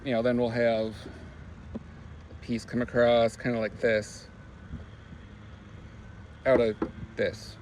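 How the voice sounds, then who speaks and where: A man's voice: mid-range voice, slow pace, pauses often, noisy background. A man in his garage chatting casually and rambling through small car projects, with lots of fillers. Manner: relaxed, rambling, filler-heavy. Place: home garage.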